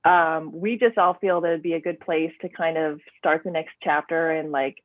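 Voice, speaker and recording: A woman author talking about her books in a relaxed, rambling way, full of "um" and "like", over a remote call. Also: low-pitched, moderate pace, dry recording. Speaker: author guest. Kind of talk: casual interview chat. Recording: tinny dry phone line.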